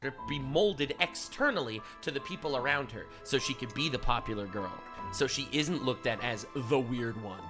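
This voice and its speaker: A man's voice: medium pitch, animated, moderate pace, hardly any pauses. A man delivering scripted analytical commentary as a video essayist, emphatic and building points through rhetorical repetition. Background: music.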